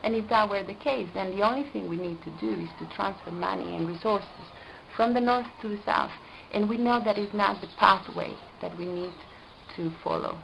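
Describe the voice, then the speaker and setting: Woman, from Costa Rica, environmental specialist, earnest deliberate delivery, gravelly edge, noisy background. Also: medium pitch, a bit bright. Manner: earnest, deliberate, stresses key words. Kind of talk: prepared video statement.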